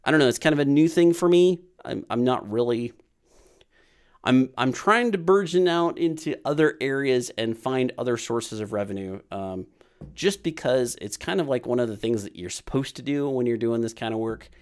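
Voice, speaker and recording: A man's voice: mid-range voice, expressive, normal speed, clear, resonant tone. Rambling man hosting a livestream. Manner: rambling, repeats himself with many fillers. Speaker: programming livestream host. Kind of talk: casual livestream Q&A.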